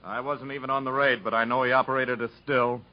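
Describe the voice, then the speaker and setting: An actor playing a hard, authoritative federal agent with clipped speech in a 1950s radio drama. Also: male voice, medium pitch, level tone, fast, lightly acted. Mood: stern. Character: hard, curt federal revenue agent, male, commanding. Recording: muffled 1950s radio broadcast recording.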